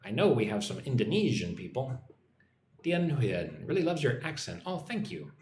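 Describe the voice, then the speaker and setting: American man chatting live, lively pitch. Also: normal speed. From United States. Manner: informal, chatty, greets viewers one by one. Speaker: English teacher and livestream host. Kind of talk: casual live chat, reading out and answering comments. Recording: roomy echo, faint background noise.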